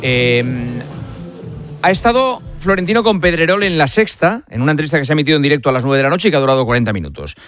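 A man hosting a late-night radio sports show, talking conversationally and pausing on fillers. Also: a little high, very animated, a bit bright, dry recording, clean studio sound, soft background music. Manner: conversational, hesitant fillers between points. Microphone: studio microphone.

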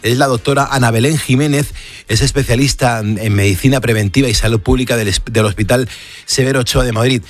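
A man's voice: medium pitch, quick pace. A man with a Castilian Spanish accent hosting a live late-night radio show, talking conversationally and straight to the listener. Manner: conversational, addresses the listener directly. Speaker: radio host. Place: radio studio.

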